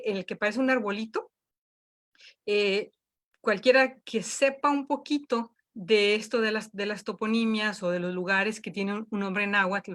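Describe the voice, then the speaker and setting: A woman's voice: mid-range voice, a bit bright. A woman leading an online workshop from home, talking with many fillers and restarts. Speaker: workshop instructor.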